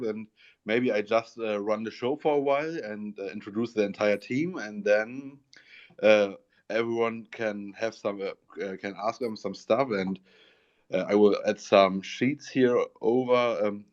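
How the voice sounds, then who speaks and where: A man with a German accent, a guest in an online audio room, talking haltingly as he searches for English words. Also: lowish voice. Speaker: guest, project founder. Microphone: online voice call. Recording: muffled online voice call.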